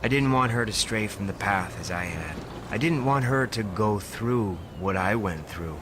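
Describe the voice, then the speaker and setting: A man's voice: on the low side, lively intonation, moderate pace, noisy background, natural voice. An actor playing a zealous, self-assured born-again American businessman and father in an audio drama, with a folksy, preacherly manner. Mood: reflective, confiding. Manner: folksy, preacherly, rhetorical questions. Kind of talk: audio drama acting, preaching speech and confessional narration. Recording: music playing behind the voice.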